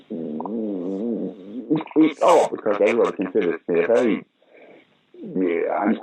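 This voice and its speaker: Animated older man telling theatre stories. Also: fairly low pitch, slight background noise, low-quality recording. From United Kingdom. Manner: animated storyteller, acts out quoted voices, many restarts. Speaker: actor and director being interviewed. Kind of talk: casual interview chat.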